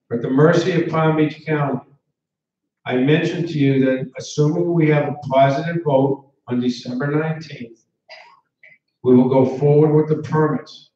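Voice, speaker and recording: Man running a town hall Q&A, firm and repetitive, slightly high, muffled and far off. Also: warm tone. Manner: firm, repeats himself to hold the floor. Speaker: meeting presenter. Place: large indoor meeting hall. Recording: echoey hall, distant, dull sound.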